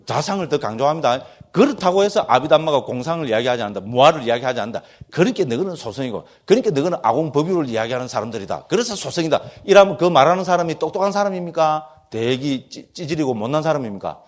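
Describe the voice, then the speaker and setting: A man speaking with a Gyeongsang accent, a Buddhist monk lecturing on doctrine. His voice sits a little high for a man. He keeps checking that his listeners agree, with a lively, teasing tone. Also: quick pace, few pauses. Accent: Gyeongsang Korean. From Gyeongsang region, Korea.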